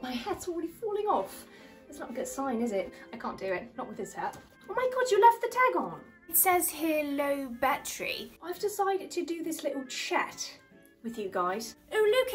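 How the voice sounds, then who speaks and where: Woman doing a dotty old lady. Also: high-pitched. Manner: rambling, easily distracted, prim yet crude. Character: dotty older British lady, baffled by technology. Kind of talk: comedic character monologue to camera. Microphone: phone camera.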